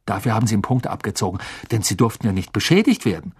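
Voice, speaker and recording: An excitable teenage wizard schoolboy from a storybook, acted out by a man. He gushes breathlessly and turns indignant on behalf of his friend, with a husky edge and big jumps in pitch. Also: fast. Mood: excited, gushing.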